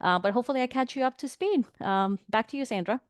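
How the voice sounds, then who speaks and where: Chatty woman with a smooth, clear voice. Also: on the high side, fast, no room sound, clean studio sound. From New York. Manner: chatty, energetic, many fillers and restarts. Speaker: board candidate, pharmacist. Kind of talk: online meeting speech. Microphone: video call.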